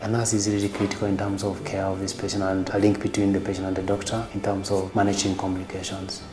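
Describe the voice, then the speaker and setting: A man speaking as a doctor, giving measured explanations of patient care. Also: sits low, completely flat delivery. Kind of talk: interview commentary. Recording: echoey room with music behind.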